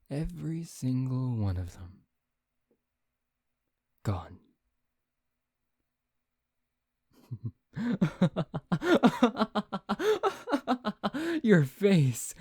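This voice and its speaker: Man acting as a slow-speaking, brooding vampire. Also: medium pitch, a little mellow, no echo, clean studio sound, heavily acted. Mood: teasing. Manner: theatrical, deliberate, teasing, with sighs and pauses. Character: brooding, taunting male vampire bent on revenge. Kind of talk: ASMR roleplay, soft dramatic acting.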